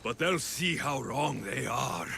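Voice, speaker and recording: A sneering demigod thug. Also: male voice, medium pitch, expressive, slow pace, creaky, sharp tone, full character voice. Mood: menacing. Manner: sneering, threatening. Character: arrogant young male demigod cult enforcer. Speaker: villain in a video game cutscene. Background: music.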